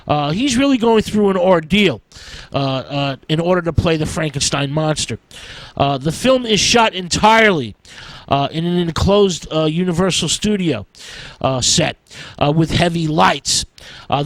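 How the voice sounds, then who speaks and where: A man giving an informal film history talk as a radio presenter, in a halting, hesitant way with an "uh" before nearly every phrase. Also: on the high side, a bit bright, no echo, poor audio.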